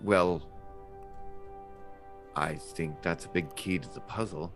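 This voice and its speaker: Slow, low-pitched male game player. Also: fairly even intonation, over music. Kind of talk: improvised in-character roleplay.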